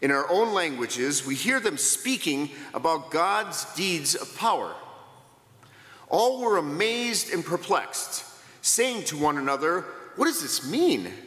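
A middle-aged man reading scripture slowly and expressively at a church lectern. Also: fairly high pitch, sing-song, bright, forceful tone. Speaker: scripture reader. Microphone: lectern mic. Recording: roomy church with long reverb.

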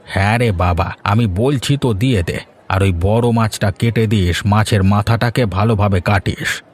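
A man playing a blustering, suspicious market customer in a cartoon, with a Bengali accent, a husky voice and a gruff, scolding manner. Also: on the low side, moderate pace. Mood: insistent. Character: blustering, suspicious Bengali husband shopping for fish. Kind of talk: cartoon voice acting.

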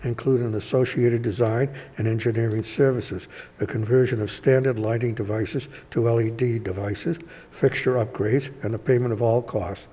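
A man reading agenda items aloud at a city council meeting, in a steady, measured recitation at a desk microphone in a wood-paneled chamber. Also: mid-range voice. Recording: reverberant chamber with faint hiss.

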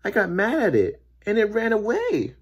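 A woman voicing an excitable, cross young boy in a children's storybook, with a dramatic delivery. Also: medium pitch, moderate pace, breathy edge, pitched above the performer's own voice.

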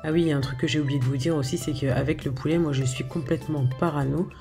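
Hesitant woman with a gravelly, mellow voice. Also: low voice, fairly even intonation, quick pace, faint music behind. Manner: hesitant, many filler sounds and restarts. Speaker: home cook showing her recipes. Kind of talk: casual voice-over for a cooking video.

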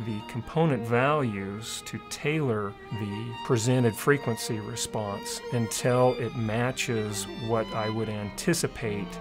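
Middle-aged male engineer, documentary interview, formal technical delivery, faint music underneath. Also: mid-range voice. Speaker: director of engineering. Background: background music.

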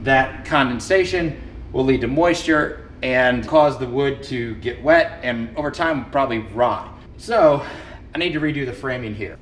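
A man's voice: medium pitch, fairly bright tone, slight background noise. A man in his thirties explaining building repair work in a casual, matter-of-fact vlog, recorded on a camera mic in a large empty brick building. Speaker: renovation vlogger. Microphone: camera mic held at arm's length.

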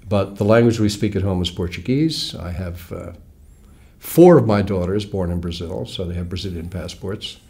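Low-voiced American man in his sixties. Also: a little mellow. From United States. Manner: wry, explanatory, measured. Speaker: author guest. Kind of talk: relaxed interview talk. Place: small studio with red curtain. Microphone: lapel mic.

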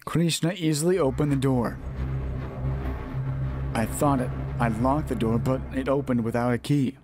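Guy doing a let's play, reading horror story text out loud, medium pitch, trips on words, music behind him. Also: normal speed. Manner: stumbles over words and rereads phrases. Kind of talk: reading a horror visual novel aloud. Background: game music.

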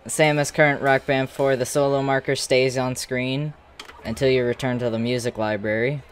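A person giving casual gameplay commentary in a halting way with false starts, in a room at home. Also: medium pitch, flat delivery, slight background noise. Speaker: streamer demonstrating a game.

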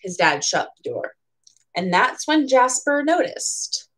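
Animated young woman reading a picture book. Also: on the high side, slow pace. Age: young adult. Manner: playfully dramatic. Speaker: bookseller reading at storytime. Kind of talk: expressive children's picture-book read-aloud with chatty asides. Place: small room at home.

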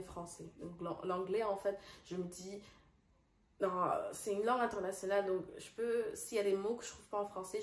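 Chatty young woman, Dutch native, roomy sound. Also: medium pitch. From Congolese parents, raised partly in the Netherlands. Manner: chatty, restarts often, slips into English words. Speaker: vlogger. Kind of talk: casual direct-to-camera chat. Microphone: phone.

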